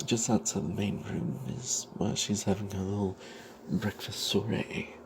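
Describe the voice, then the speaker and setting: A man telling an off-the-cuff fantasy game story in ASMR style, breathy and half-whispered, halting, with playful old-fashioned flourishes. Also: lowish voice, background noise. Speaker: storyteller narrating a tabletop game tale.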